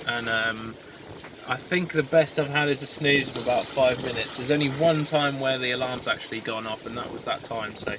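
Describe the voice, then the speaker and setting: Solo sailor vlog voice: man, mid pitch, halting and casual, recorded outdoors at sea with clear wind and water noise. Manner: halting, lots of ums and restarts. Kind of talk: casual video diary. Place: outdoors on a small sailboat at sea. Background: wind, water and boat sounds.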